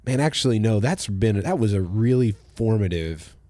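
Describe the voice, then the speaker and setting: A man with a deep, low voice, hosting a relaxed church podcast as its pastor. He talks in an easygoing, reflective way and lets his sentences fade off quietly. The sound is close and dry, with no room around it. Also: normal speed, no echo. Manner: relaxed, thoughtful, trails off softly at the ends of phrases. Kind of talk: casual conversational podcast.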